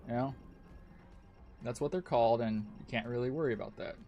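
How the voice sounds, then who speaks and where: A man's voice: mid-range voice, fairly quick, vocal fry, dark tone, faint music behind. A man chatting casually while gaming on a stream, rambling, swearing a lot and laughing often.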